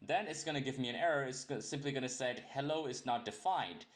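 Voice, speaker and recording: A man's voice: mid-range voice, quick pace. A young man teaching a programming lesson in a casual, rambling way, often correcting himself and joking as he goes. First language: English. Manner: rambling, self-correcting, jokey asides. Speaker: programming tutor. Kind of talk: casual screen-recorded coding tutorial.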